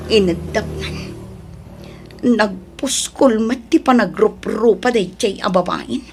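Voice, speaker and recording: A sorrowful, worn-down wife in an audio drama, acted by a woman. Her voice is high and plaintive, often close to tears, with a pleading manner. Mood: pleading, hurt. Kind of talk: Ilocano audio drama acting.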